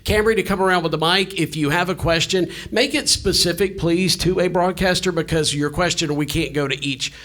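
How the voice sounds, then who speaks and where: Higher-pitched man moderating a panel. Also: brisk, few pauses, a bit bright. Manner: directive, organizing. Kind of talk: unscripted event hosting.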